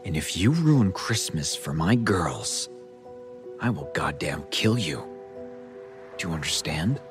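A man's voice: medium pitch, expressive, measured pace, music in the background, voice pushed up, close to an everyday voice. A man voicing a stern, protective father in a story, speaking in a firm, intimidating way. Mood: menacing. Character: stern, threatening father, protective brother-in-law.

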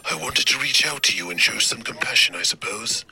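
Sinister radio-play villain, very deep, sharp-edged hiss, rasping, mock-polite, taunting. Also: male voice, animated, fairly quick, full character voice. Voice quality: husky, raspy, partly whispered. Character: menacing, courteous male serial killer phoning the police. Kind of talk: old-time radio suspense acting.

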